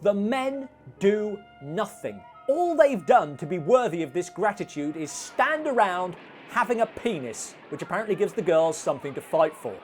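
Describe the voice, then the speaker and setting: A woman's voice: on the low side, sing-song, normal speed, over music. A woman narrating a scripted film review in a dry, sarcastic manner.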